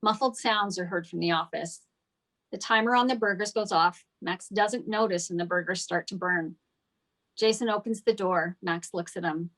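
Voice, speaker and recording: A woman's voice: lowish voice, normal speed, a bit bright, low-quality recording. A woman narrating scripted stage directions at a table read.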